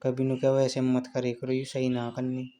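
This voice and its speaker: A man in a casual phone chat, rambling and teasing in a drawn-out way. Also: mid-range voice, completely flat delivery, mellow. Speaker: caller in a couple's phone chat. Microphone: phone call.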